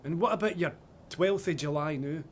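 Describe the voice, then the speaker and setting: Male actor doing young soldier, blunt and stubborn, high voice, faint rumble behind. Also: moderate pace, natural voice. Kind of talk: audio drama acting.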